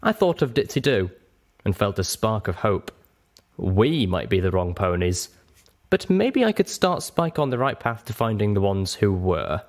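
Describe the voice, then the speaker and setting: A man reading a first-person story aloud as an expressive narrator. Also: fairly low pitch, measured pace. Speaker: audiobook reader.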